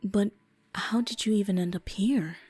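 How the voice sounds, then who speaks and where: A woman playing a composed, probing doctor in a game dub, with a measured, matter-of-fact delivery. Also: mid-range voice, mellow, faint music behind, lightly acted. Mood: sympathetic. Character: composed, probing female doctor on a house call.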